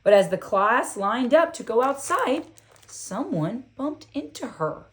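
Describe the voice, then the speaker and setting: A woman reading a children's book aloud in an expressive, deliberate storytelling style with emphatic phrasing. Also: on the high side.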